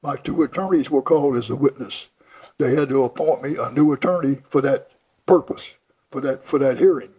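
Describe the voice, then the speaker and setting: A man's voice: a little high, a little mellow, husky, dry recording. An older man telling his life story in an interview, plainly and with many restarts. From Houston, Texas. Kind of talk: oral history interview.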